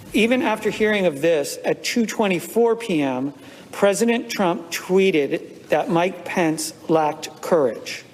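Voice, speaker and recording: Formal man in an echoing chamber. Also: high voice. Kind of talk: formal read-aloud. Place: large legislative chamber.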